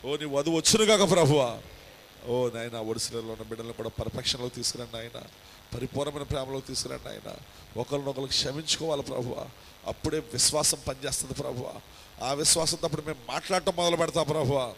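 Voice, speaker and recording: A man leading a fervent congregational prayer as pastor, ending each line with an address to God. His voice surges loud and high at the peaks, then drops to a pleading murmur. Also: quick pace, creaky, slight background noise, poor audio. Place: church service streamed live.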